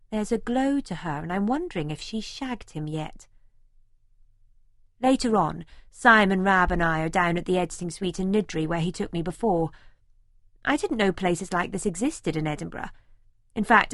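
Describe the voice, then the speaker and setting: A woman's voice: fairly low pitch, moderate pace, dry recording, clean studio sound, natural voice. A woman playing a young, observant female student who narrates her own life in an audiobook. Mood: observant, matter-of-fact. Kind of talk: first-person audiobook narration.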